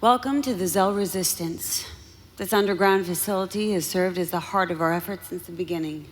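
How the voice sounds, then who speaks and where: An actress playing a tough, determined resistance fighter and guide in a live audio drama. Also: female voice, on the low side, brisk, natural voice, low-quality recording. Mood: earnest. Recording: live stage with faint background noise.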